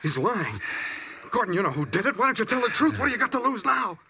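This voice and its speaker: An actor playing a hot-headed accused brother, urgent and pleading, in a 1940s radio drama. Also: male voice, sits high, very animated, fast, vocal fry, a crowd in the background, exaggerated voice. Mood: desperate pleading. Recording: noisy, distant old radio broadcast.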